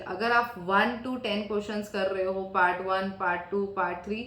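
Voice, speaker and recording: A woman with an Indian English accent coaching students for an exam, switching between English and Hindi, fast in Hindi and slow and deliberate in English. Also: a little high, a bit bright. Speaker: IELTS coach. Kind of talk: tutorial to camera. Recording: echoey room.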